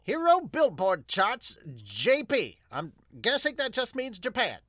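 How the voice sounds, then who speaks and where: A man's voice: very high voice, wildly swooping pitch, measured pace, a bit bright, dry recording, pitched above the performer's own voice, heavily acted. A man voicing a grand, emphatic announcer of hero rankings in an amateur manga dub.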